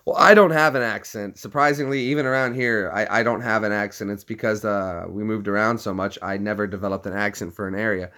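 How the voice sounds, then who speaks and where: A man's voice: on the low side, fairly even intonation, brisk, few pauses, sharp tone. A man from Tennessee with a neutral American accent, chatting casually to camera. He is jokey and explains things step by step. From Tennessee, United States. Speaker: vlogger.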